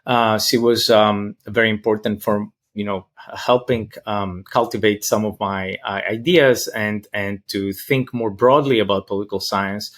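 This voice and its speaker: A man from Greece with a Greek accent answers interview questions as a professor, thoughtful and halting as he searches for words. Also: fairly low pitch, fairly even intonation, a bit bright. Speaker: interview guest, political science professor.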